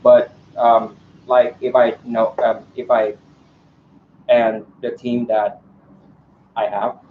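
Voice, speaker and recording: A man's voice: medium pitch, little pitch movement, takes it slowly, a little hiss or hum. A man answering candidate questions at a student election forum, hesitant and full of ums and restarts. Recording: echoey room, dull lo-fi sound.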